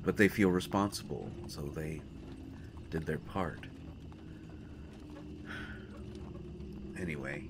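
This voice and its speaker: A man playing a brooding young prince in a fantasy audio drama, speaking to the listener in a halting, earnest, dramatic way. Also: rumbling low, heavy background noise. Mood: pensive, subdued. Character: brooding young male prince at war with his father. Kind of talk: fantasy roleplay audio acting addressed to the listener. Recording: music behind the voice.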